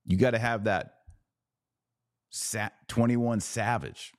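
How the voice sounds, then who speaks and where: A man's voice: fairly low pitch, fairly even intonation, slow pace, no room sound, clean studio sound. A man hosting a livestreamed commentary podcast, talking emphatically with sarcastic, mocking asides. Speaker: podcast host.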